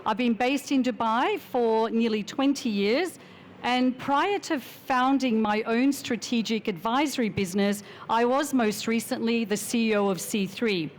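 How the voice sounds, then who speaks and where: A woman speaks with a measured, formal delivery as a panelist on a conference stage. Her voice sits a little high, with a faint hiss of room noise behind her. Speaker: panelist, strategic advisor. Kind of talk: prepared panel introduction.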